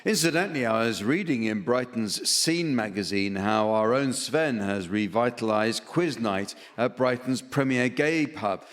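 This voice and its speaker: A man chairing a comedy panel show in front of a live audience, with a deadpan, mock-grandiose delivery. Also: medium pitch. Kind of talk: scripted comedy hosting before a live audience. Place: theatre stage with live audience. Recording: echoey hall, noisy laughing audience.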